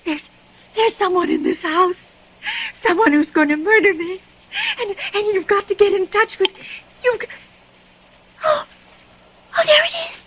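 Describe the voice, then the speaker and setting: A woman's voice: very high-pitched, animated, measured pace, pauses often, a bit bright, exaggerated voice. A panic-stricken woman pleading. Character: terrified woman trapped alone, begging an operator. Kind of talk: 1940s radio acting. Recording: dull old radio recording.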